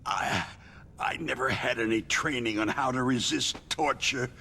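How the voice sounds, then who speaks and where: An actor voicing a battered older American executive held captive in a video game, frightened, halting and stammering, given to agitated outbursts. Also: male voice, medium pitch, sharp tone, a little hiss or hum. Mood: pained, weak. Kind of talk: dramatic video game voice acting.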